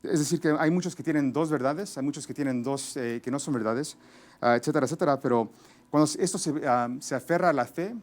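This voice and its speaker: Halting bilingual preacher, mid-high voice. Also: male voice. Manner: halting, many restarts and fillers, searching for Spanish words. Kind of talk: sermon.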